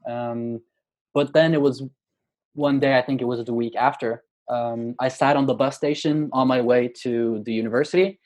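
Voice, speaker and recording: A young man from Norway, in his twenties, talks in an interview. He picks his words slowly, with lots of fillers and false starts. His pitch sits in a middle range. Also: fairly even intonation, darkish tone. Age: 20s. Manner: hesitant, many fillers and restarts. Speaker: guest poet. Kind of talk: casual interview.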